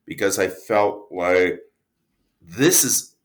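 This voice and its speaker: A man with a fairly low voice speaks very slowly as an interview guest. His talk is casual and anecdotal, coming out in thoughtful, broken phrases with dramatic pauses. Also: expressive. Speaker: writer and producer, interview guest.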